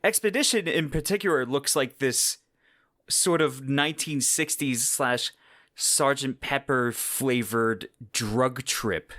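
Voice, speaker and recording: A man's voice: medium pitch, takes it slowly, sharp tone, no room sound, clean studio sound. A man hosting a podcast, explaining in a conversational, semi-scripted narration with emphatic descriptive wording. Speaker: podcast host.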